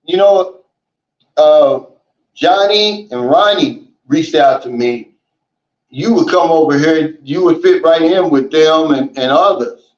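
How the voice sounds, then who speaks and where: A man hosting a casual livestream, reading out viewer comments and chatting in a relaxed, folksy way, often saying "man" and "you know". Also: a little high, slow pace. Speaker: livestream host. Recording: roomy and dull, lo-fi.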